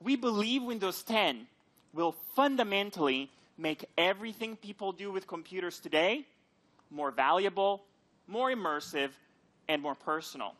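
A man giving a keynote product presentation on stage, speaking in emphatic, deliberate short phrases. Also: high-pitched, lively intonation, a bit bright. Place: large auditorium stage with seated audience.

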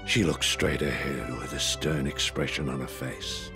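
An actor narrating a science fiction audio drama as a grave storyteller. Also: male voice, extremely low pitch, steady tone, measured pace. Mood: solemn. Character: grave male narrator of a space adventure. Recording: music under the voice.

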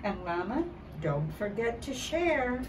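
Slow, animated older woman reading a storybook. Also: a little high. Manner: animated, deliberate, dramatizing for young children. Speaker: early childhood storybook reader. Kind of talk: expressive read-aloud of a children's picture book. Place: outdoors by a building wall and door. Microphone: camera a short distance away. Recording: distant mic, clear background noise outdoors.

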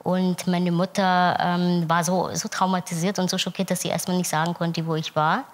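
A woman in her thirties, born in Turkey, telling her own story as a guest in a TV studio, frank and quick to laugh. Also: on the low side, level tone. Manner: frank, laughs easily, many fillers. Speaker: talk show guest. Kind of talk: casual chat. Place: TV studio with audience. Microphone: lapel.

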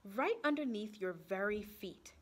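A woman's voice: mid-range voice, animated, a little slow. A woman voicing a cheerful, adventurous schoolteacher in a storybook, announcing things confidently to her class. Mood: explaining.

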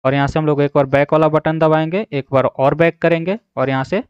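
Male tutorial narrator, smooth voice on the high side, instructional with frequent check-ins, dull close recording. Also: fairly even intonation, a little fast, no room sound. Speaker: tutorial host. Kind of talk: step-by-step app tutorial narration. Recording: slightly dull, dry voice-over.

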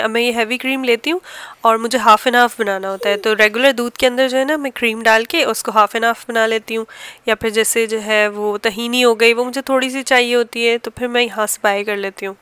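A woman's voice: a little high, fairly even intonation, fairly quick, a bit bright, clear, resonant tone, dry recording. A woman in her thirties with a Pakistani accent, narrating a casual home vlog in a chatty, explanatory way. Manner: chatty, explanatory, homely everyday talk. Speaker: home cooking and family vlogger.

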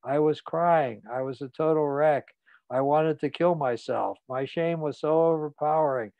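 A man's voice: on the high side, no echo, muffled recording, natural voice. A man reading an audiobook, voicing a frightened, ashamed man who speaks in a muted voice. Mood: shamed. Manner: muted, halting, confessional. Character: ashamed, frightened middle-aged man confessing.

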